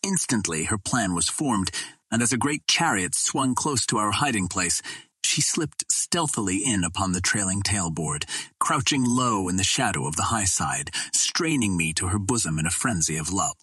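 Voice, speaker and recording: A man narrating an adventure novel as an audiobook in a measured, steady storytelling manner. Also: deep voice, slightly rough, no room sound.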